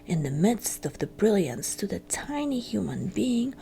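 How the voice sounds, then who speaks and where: A woman reading a fairytale as ASMR storytelling, in a breathy, crackly whisper with hushed phrasing and gentle pauses. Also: mid-range voice, sing-song.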